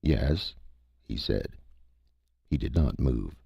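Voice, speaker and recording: A man's voice: rumbling low, big pitch swings, a little slow, creaky, dark tone, breathy edge, no room sound, lo-fi sound. A man narrating a scripted story as a dramatic audiobook reader.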